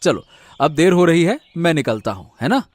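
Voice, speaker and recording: A man's voice: on the high side, very animated, normal speed, dry recording. A man playing a kind, doting village husband and father in a cartoon story, easygoing and affectionate. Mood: gentle, dutiful. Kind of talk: Hindi cartoon moral story acting.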